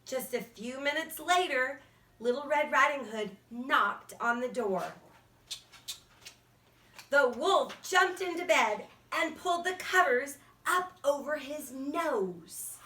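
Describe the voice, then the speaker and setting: A woman's voice: sits high, expressive, slow pace, pushed and bright. A woman narrating a fairy tale for children as a storyteller. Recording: echoey room, distant from mic.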